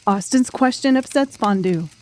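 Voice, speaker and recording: A woman's voice: mid-range voice, animated, moderate pace, a little mellow. A woman narrating a dramatic audiobook story from a script.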